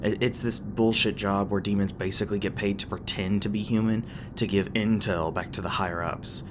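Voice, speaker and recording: A man's voice: fairly low pitch, fairly even intonation, fairly quick, natural voice. A man playing a teasing, crass but caring demon boyfriend in a conversational audio roleplay, talking casually with some hesitation. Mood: upbeat, candid. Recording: clearly noisy background with ambient sound effects.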